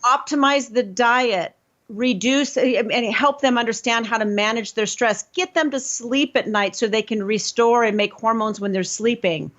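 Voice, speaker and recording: A woman hosting a health talk show, explaining in an emphatic, lively way. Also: on the high side, moderate pace. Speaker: host. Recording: slightly dull lo-fi sound.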